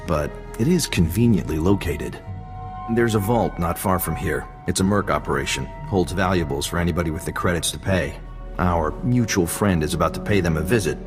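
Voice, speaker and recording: An actor playing a wry male intelligence agent in a video game, talking in a casual, unhurried way. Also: sits low, long reverb tail, natural voice. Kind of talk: video game cutscene dialogue.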